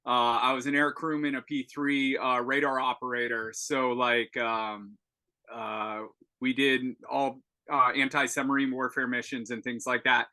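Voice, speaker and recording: Guest on a call, male, forties, bright tone, hesitant and filler-heavy. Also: mid-range voice, flat delivery. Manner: halting, many fillers and restarts. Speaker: podcast guest. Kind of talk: casual video-call interview. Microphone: video call.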